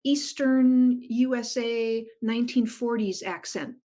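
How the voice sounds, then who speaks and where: Woman author, middle-aged, fairly high voice, eager storyteller, video call. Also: slow pace. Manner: enthusiastic, animated storyteller. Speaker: guest author. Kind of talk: casual interview chat.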